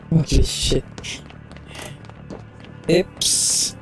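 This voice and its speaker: Unhurried, halting gamer in a roomy space. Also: mid-range voice, fairly even intonation, creaky. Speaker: gaming video host. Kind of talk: casual gameplay commentary. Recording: roomy echo, game music behind. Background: video game music.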